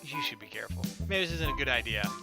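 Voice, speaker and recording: A man's voice: medium pitch, expressive, fast, creaky. A man hosting a gaming video, reading a visual novel aloud and acting out the dialogue informally. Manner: informal, performs lines as he reads. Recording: game music playing behind the voice.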